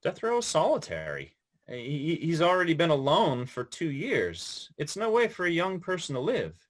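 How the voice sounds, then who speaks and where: An actor playing an earnest young defense lawyer who talks with small stammers. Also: male voice, a little high, wildly swooping pitch, moderate pace. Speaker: actor in a play reading. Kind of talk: stage play reading.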